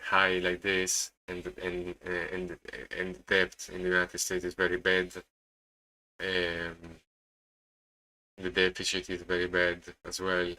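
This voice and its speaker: A man from Italy with an Italian accent, giving casual live market commentary as a streamer, halting and often repeating himself as he searches for words. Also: low-pitched, bright, forceful tone, rough recording. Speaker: day trading live streamer.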